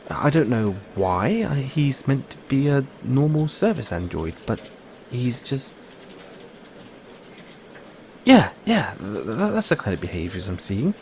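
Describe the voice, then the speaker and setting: A man with a British accent acting in an audio roleplay as a kindly, anxious, furtive android owner, speaking haltingly with stammers. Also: mid-range voice, sing-song, darkish tone, a little hiss or hum. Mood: nervously polite.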